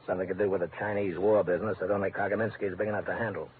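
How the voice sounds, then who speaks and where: A tough, heavy-set American government agent played by a male actor in an old radio crime drama. He talks in a blunt, matter-of-fact, businesslike manner with a fairly low voice. The sound is narrow, with faint noise. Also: little pitch movement, rapid-fire, lightly acted. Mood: brisk explaining. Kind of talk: 1940s-50s radio crime drama acting.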